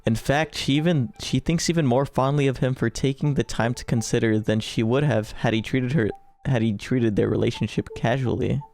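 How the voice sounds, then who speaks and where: Gravelly-voiced guy gaming with a friend. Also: mid-range voice, normal speed, few pauses, darkish tone. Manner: jokey, informal, swearing. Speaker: gaming video co-host. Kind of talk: casual chat and reading aloud while playing a visual novel.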